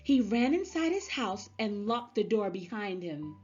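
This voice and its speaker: Husky woman reading a storybook, very slowly. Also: medium pitch, big pitch swings, a little mellow. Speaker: storybook reader. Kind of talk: expressive children's read-aloud narration. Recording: slightly dull sound, music underneath. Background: music.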